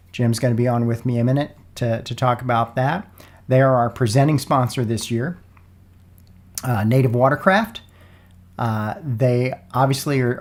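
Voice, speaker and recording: A man hosts a relaxed sponsor podcast in a mid-pitched voice. He sounds a little froggy, as if getting over a cold. His delivery is informal and folksy. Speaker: podcast host. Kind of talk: casual sponsor interview podcast.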